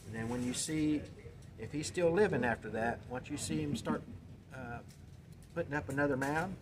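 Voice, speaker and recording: A man in his sixties casually explaining a how-to method in a plain, halting way, searching for words. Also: a little high, crowd noise. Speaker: guest explaining a home method. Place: indoors in a cluttered shop. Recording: noisy room with background ambience.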